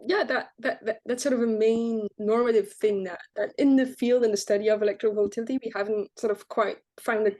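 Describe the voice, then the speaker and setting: A woman's voice: fairly high pitch, fairly even intonation, fast, hardly any pauses, mellow. A woman from Belgium who grew up there, talking about her research as an author in an academic interview, often restarting and repeating words. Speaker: author guest.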